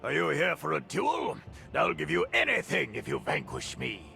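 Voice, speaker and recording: A man playing a brash, boisterous rebel leader in a video game, with a gravelly voice and theatrical swagger. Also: a little high, huge pitch swings, moderate pace, bright, forceful tone, exaggerated voice. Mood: boisterous, cocky. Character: brash, boisterous resistance leader who relishes a fight. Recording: music under the voice.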